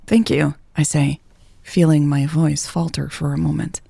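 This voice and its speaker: A woman's voice: low-pitched, level tone, moderate pace, gravelly, mellow, breathy edge, dry recording. A woman reading a first-person romance story as a scripted narration.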